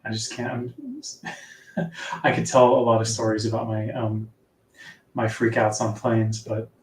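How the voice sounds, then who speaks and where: A man with a soft, sleepy-sounding voice, chatting casually as an author guest in a live interview. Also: fairly low pitch, expressive, unhurried. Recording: echoey room, distant from mic.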